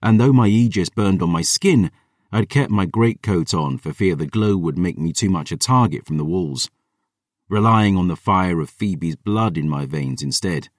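A man's voice: deep voice, expressive, moderate pace, dry recording, studio quality, far from the mic. A man voicing a proud, battle-hardened female vampire warrior, telling of battle in an intense, grave, theatrical way. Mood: grim, intense. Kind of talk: dramatic first-person storytelling.

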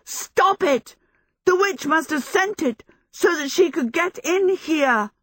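A woman's voice: very high-pitched, expressive, slow pace, dry recording, studio quality, voice pushed up, exaggerated voice. A woman voicing an alarmed young male apprentice who cries out in panic. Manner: panicked shouting.

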